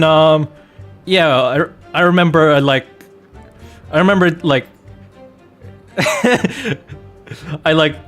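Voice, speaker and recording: A man with an average-pitched voice talks casually as a game streamer. He spins anecdotes in an animated, jokey manner, sliding into mock-innocent protest. Soft music plays behind him. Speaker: streamer playing a video game and host of the channel.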